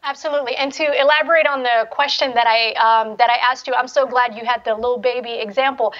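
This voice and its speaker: Young woman. Articulate, affirming panel host, high voice, lo-fi call audio. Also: steady tone, quick pace, a bit bright. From Somalia, raised in Atlanta from childhood. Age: young adult. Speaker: panel moderator. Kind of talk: panel discussion, conversational. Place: indoor room at home, on a video call. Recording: dull video-call audio.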